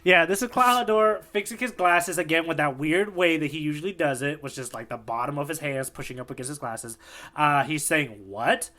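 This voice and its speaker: Easygoing man with a bright voice. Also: fairly high pitch, brisk. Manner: easygoing, agreeable, filler-heavy. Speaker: podcast co-host. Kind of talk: casual chat.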